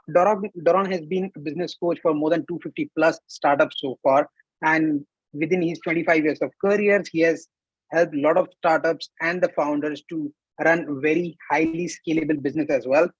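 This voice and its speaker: A man's voice: a little high, slightly rough, dry recording, lo-fi sound. A man hosting an online panel over a video call, upbeat and formal as he introduces speakers. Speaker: panel host.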